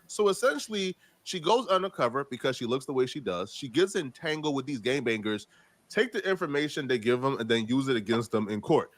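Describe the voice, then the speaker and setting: A man hosting a reaction show, giving casual, animated commentary in slangy street talk. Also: mid-range voice. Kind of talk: casual commentary on court news.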